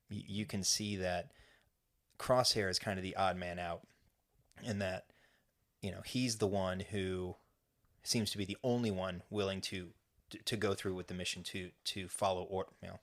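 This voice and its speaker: A man's voice: low voice, gravelly. A man co-hosting a fan podcast, recapping an episode in casual chat with lots of restarts and fillers. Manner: rambling, many restarts and fillers. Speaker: podcast co-host.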